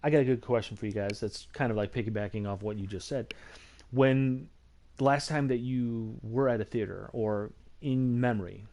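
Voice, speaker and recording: A man in a casual chat among friends, asking questions and quickly agreeing. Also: mid-range voice, warm tone.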